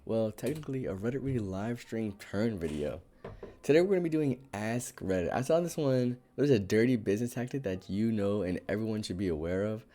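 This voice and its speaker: A hoarse, raspy-voiced young man casually reading Reddit posts on a live stream, rambling through asides with lots of fillers. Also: fairly low pitch, expressive, fairly quick, mellow, a little hiss or hum.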